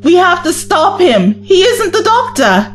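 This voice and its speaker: A high-voiced heroine, acted by a woman in a science-fiction audio drama. She is earnest, principled and emotional. Her urgent delivery moves around a lot, with faint music underneath. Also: big pitch swings, unhurried, full character voice. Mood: desperate. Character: earnest, principled former female companion of time traveler.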